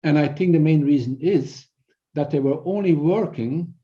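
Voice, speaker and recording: A middle-aged man with a Belgian accent explaining things as an interview guest, in a halting way with repeated words. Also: fairly high pitch, warm tone. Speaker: guest, performance coach. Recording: dull, band-limited audio.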